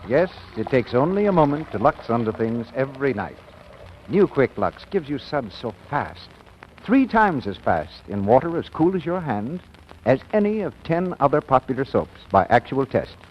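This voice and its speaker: Animated American male 1940s radio announcer. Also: medium pitch, moderate pace. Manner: polished, emphatic. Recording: muffled, noisy old radio recording.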